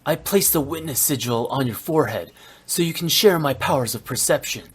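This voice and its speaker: A man voicing a stern, brooding, youthful immortal warrior in a video game, speaking in a grave, formal and terse manner with a husky voice. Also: a little high, lively intonation, brisk, noisy background, close to an everyday voice. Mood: solemn.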